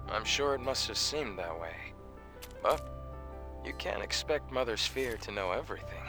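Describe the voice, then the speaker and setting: An actor playing a calm, reassuring male survivor who guides others, in video game cutscene dialogue. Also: lowish voice, moderate pace. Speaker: game character. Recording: game audio with music behind.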